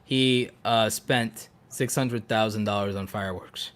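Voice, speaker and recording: A man's voice: on the low side, steady tone, unhurried, fairly bright tone. A man co-hosting a casual podcast, talking emphatically and with strong opinions, repeating phrases to drive points home. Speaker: podcast co-host.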